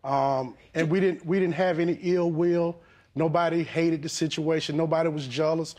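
A middle-aged man from the American South testifying in a TV courtroom, speaking haltingly with many pauses and fillers, respectful toward the judge. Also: fairly high pitch, fairly even intonation, darkish tone. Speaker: litigant. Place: TV courtroom set.

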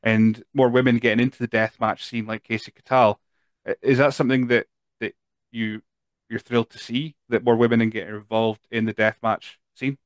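A man's voice: medium pitch, level tone, normal speed, no room sound. A man in his thirties hosting a podcast, asking long friendly questions with many restarts, into a studio mic at home. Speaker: podcast host. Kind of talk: casual interview. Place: home room with collectibles. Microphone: boom studio mic with headphones.

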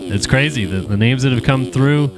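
A man's voice: mid-range voice, moderate pace, crowd noise, poor audio. A man giving casual live commentary on a simulated motocross race, slightly nasal from a cold, with plenty of ums and uhs. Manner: casual, talkative, frequent fillers. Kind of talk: live sim racing commentary.

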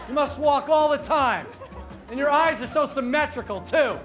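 Middle-aged man, high-pitched, in-your-face street prankster, lapel mic, city traffic and audience laughter. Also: moderate pace, a crowd in the background. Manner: aggressive, pushy, shouting compliments. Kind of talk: street prank, loud mock compliments. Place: busy city sidewalk outdoors. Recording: outdoor street noise with music and laughter.

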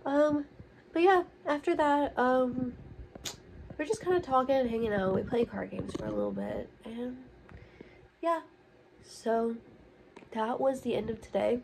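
A young woman in college chatting casually to her phone camera in a relaxed vlog, upbeat and full of fillers. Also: fairly high pitch, a little slow, pauses often, a little hiss or hum, rough recording. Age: young adult. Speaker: college student vlogger. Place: dorm room and outdoors. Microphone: phone held at arm's length.

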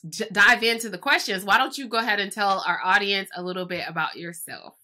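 A woman's voice: medium pitch, fairly quick, bright, forceful tone. A woman hosting an interview over a video call, chatty and enthusiastic, her voice stuffy from a cold. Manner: chatty, enthusiastic, many fillers. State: stuffy, congested. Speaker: podcast host. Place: home room, video call. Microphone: webcam or laptop mic on video call.

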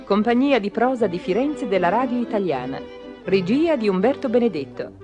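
A woman announces radio credits from a script. Her medium-pitched voice is formal with animated intonation, over faint music. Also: moderate pace, dull audio.

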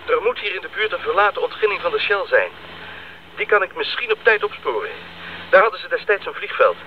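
An actor playing a young, dutiful missionary bush pilot in a radio drama, heard over an aircraft radio. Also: male voice, sits high, sing-song, brisk. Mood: urgent. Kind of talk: 1980s Dutch radio drama acting. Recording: muffled crackly radio link.

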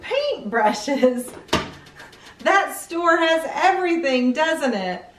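A middle-aged woman presenting to young children in an animated way, often asking simple questions. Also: high-pitched, drawn-out words, roomy sound, recorded from a distance, noisy background. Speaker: children's read-aloud show host.

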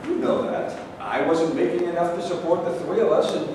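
A man's voice: sits high, brisk, close to an everyday voice. An older man playing a kindly, regretful working-class father in a staged reading of a play. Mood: gentle. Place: large bare indoor room. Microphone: far camera. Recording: echoey hall, distant camera microphone, noisy.

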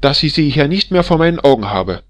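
A man voicing a shrill, furious middle-aged barber's wife who scolds and hurls insults at her husband in a storybook reading. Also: very animated, fairly quick, dry recording. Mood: furious scolding.

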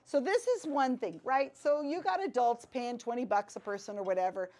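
Middle-aged woman, fairly high voice, chatty pub-talk speaker, recorded from a camera across a small room. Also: normal speed. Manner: animated, conversational, frequent asides. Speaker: guest speaker. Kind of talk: casual lecture to a pub audience. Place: small wood-paneled pub back room.

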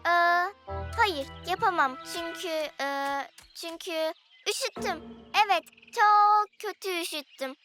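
A very high, childlike cartoon baby who fibs and protests with exaggerated innocence. The little puppeteer sounds boastful and evasive, over prominent children's music. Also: female voice, slow. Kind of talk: dubbed children's cartoon acting.

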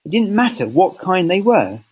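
A man reading a children's story aloud with expressive, deliberate phrasing. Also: sits high, slightly rough. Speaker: storybook reader.

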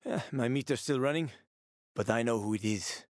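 A male actor voices a wry former cabbie surviving in a video game world. He is a man with a medium-pitched voice and a colloquial, sweary way of talking. His manner is urgent and streetwise, and the sound is dry and close. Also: normal speed, no echo, close to an everyday voice. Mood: grave warning. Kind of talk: video game character dialogue.

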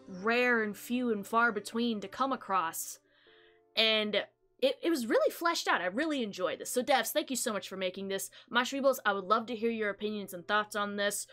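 A woman's voice: on the high side, a bit bright. A young woman hosting a gaming video, chatting casually and expressively about what she played. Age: young adult. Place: small indoor room. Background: music.

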